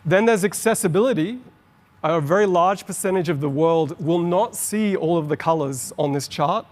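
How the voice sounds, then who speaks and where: A middle-aged Australian man giving an enthusiastic, explanatory conference talk on stage. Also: fairly high pitch. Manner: explanatory, enthusiastic, quickens on asides. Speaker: conference presenter. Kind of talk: keynote presentation. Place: large conference hall on stage. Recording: long hall reverb.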